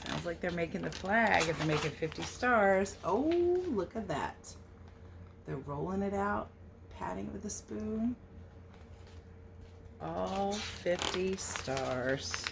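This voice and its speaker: A woman teacher reading a picture book aloud to children, expressive and sing-song, with casual questioning asides. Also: lowish voice, slow, vocal fry, background noise. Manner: animated, questioning, sing-song verse delivery.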